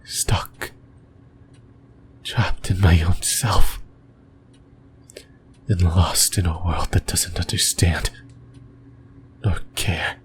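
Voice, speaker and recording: A man playing a possessive, lonely sleep paralysis demon in a creepy ASMR roleplay, whispering breathily in slow, halting phrases. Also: low-pitched, steady tone. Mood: desolate, yearning. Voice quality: breathy, mostly voiceless whisper. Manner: slow, deliberate, halting phrases with long pauses.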